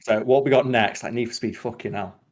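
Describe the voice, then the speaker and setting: A man's voice: medium pitch, fairly quick. A man chatting casually as a podcast host, blunt and opinionated, with plenty of swearing.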